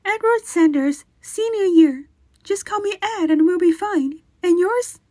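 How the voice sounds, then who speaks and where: A woman's voice: extremely high pitch, measured pace, dark tone, dry recording, studio quality. A woman acting in a radio drama as a small, boyish male college senior, blunt, cheeky and self-assured. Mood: friendly, frank. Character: tiny, plucky, blunt male college senior, looks twelve.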